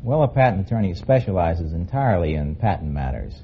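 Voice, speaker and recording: A man's voice: low voice, sing-song, fairly quick. An American man answering as a quiz contestant in a plain-spoken, straight-faced, unscripted way. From California, United States. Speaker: quiz contestant, patent attorney. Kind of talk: unscripted radio interview answers. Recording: muffled old radio recording, loud noise.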